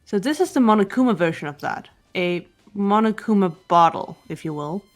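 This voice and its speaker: Woman playing a matter-of-fact student, medium pitch. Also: animated, moderate pace, close to an everyday voice. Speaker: player voicing a game character. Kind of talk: voiced let's play, reading game script.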